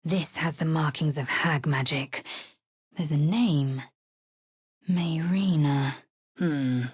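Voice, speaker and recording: Blunt githyanki warrior woman, husky and low, slow clipped delivery, dry studio sound. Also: no room sound, heavily acted. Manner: clipped, commanding, often low and hushed. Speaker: game character. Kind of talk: studio game voice acting.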